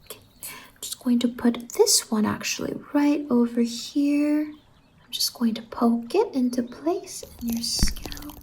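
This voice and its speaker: A woman playing a whimsical, reassuring salon stylist in an ASMR roleplay, speaking softly and gently on a close microphone and often slipping into whispers. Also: sits high, rough recording. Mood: soft, encouraging. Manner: gentle, reassuring, drawn-out words. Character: whimsical, reassuring female fever-dream hair salon stylist.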